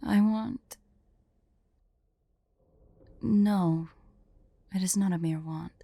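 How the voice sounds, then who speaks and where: Woman acting as female dragon, ASMR roleplay, proud and wistful, formal diction, hushed breathy drops, soft dark tone, close dry recording. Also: medium pitch, very slow, dry recording, heavily acted. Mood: intense, brooding. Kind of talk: ASMR roleplay with slow myth storytelling.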